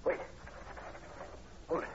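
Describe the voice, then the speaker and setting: An actor playing a terse, commanding police sergeant in old radio horror, authoritative and no-nonsense. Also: male voice, slow pace, lightly acted. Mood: urgent. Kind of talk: 1940s radio horror acting. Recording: muffled, faintly hissy old radio recording.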